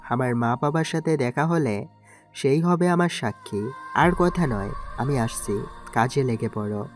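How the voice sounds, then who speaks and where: A man's voice: mid-range voice, measured pace, faint music behind, natural voice. A man playing a cold, mocking young mafia boss in an audio drama, speaking in a commanding way. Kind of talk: Bengali audio story acting.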